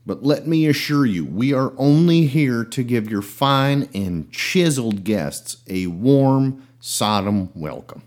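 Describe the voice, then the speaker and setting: A man acts out a smarmy, crude ringleader of a mob in a sketch. His delivery is very lively, swinging up and down, mock-polite and argumentative in a casual way. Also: medium pitch, takes it slowly, hardly any pauses. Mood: smug, mock-polite. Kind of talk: comedy sketch acting.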